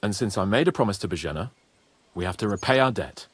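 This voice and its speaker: Husky, proud young medieval lord. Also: male voice, fairly low pitch, fast, no echo. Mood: sincere. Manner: haughty, swearing, expressive. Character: proud, hot-headed young nobleman in medieval Bohemia. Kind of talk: video game cutscene acting.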